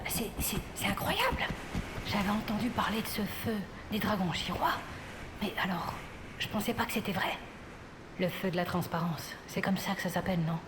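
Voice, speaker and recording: A woman's voice: medium pitch, expressive, moderate pace, noisy background, close to an everyday voice. A woman playing a hot-headed, defiant young male pegasus in a fantasy audio drama, with an impassioned delivery. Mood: astonished. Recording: echoing voice under loud music.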